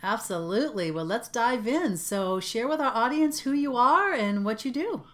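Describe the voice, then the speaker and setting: A woman's voice: a little high, lively intonation, moderate pace, a bit bright. A woman hosting a podcast interview, upbeat and enthusiastic. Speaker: podcast host.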